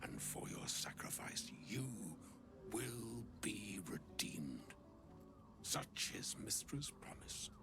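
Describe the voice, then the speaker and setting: An actor playing a solemn, kindly old wizard in a video game, with theatrical, archaic phrasing. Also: male voice, lowish voice, very animated, takes it slowly, exaggerated voice. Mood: solemn, regretful. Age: older. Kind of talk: video game dramatic acting. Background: music.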